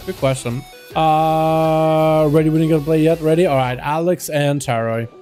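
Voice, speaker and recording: A man's voice: fairly high pitch, fairly even intonation, normal speed, dark tone, faint music behind. A young man from Russia casting video game duels live, chatting loosely with his viewers and calling them "boys.". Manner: chatty, calls out to chat as "boys". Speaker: livestream host and duel caster. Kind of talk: casual game commentary.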